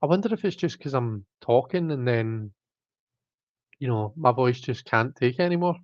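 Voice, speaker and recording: A man's voice: mid-range voice, animated, moderate pace, no room sound, muffled recording. A man hosting a live stream, chatting casually and reading chat, rambling with many fillers and restarts while his throat keeps catching. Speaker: livestream host reading chat.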